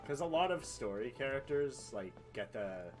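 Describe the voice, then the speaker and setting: A streamer with a voice around a low 107 hertz chats casually during live gameplay. The talk is wry and sweary, with game music loud behind it and keys clattering. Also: lively intonation, normal speed.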